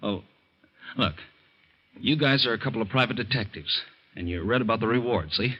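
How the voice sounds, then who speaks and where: A smooth ex-con thief. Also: male voice, lowish voice, expressive, moderate pace. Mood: persuasive. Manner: smooth, persuasive and cool. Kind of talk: 1940s radio acting. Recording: muffled 1940s radio broadcast.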